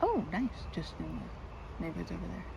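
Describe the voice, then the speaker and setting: A woman's voice: lowish voice, very animated, fairly quick, vocal fry, background noise, exaggerated voice. A woman playing a timid, sweet, rambling young woman in an ASMR roleplay, speaking to the listener with shy, hesitant stammers. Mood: shy chatty.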